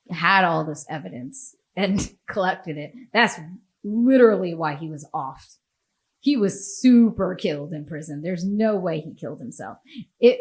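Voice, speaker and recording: An American woman hosts a casual podcast chat. She talks bluntly, swears freely and breaks into laughter often. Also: fairly low pitch. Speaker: podcast host.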